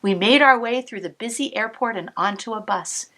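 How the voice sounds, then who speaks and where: A woman cantor reading a picture book aloud to children, pausing to explain the pictures, sometimes dropping to a whisper. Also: fairly high pitch. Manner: slow, expressive storybook reading with soft whispered asides. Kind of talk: read-aloud storytime with asides to kids.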